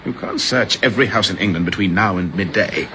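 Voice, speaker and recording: An actor playing a skeptical, exasperated companion who argues back, in a 1950s radio drama. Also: male voice, deep voice, fairly quick, sharp tone, a little hiss or hum. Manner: argumentative, exasperated.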